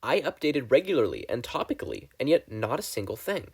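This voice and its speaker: A man's voice: mid-range voice, big pitch swings, brisk, a little mellow, breathy edge. A man voicing a defiant young villain who preaches earnestly and challengingly in a video message, for a story reading.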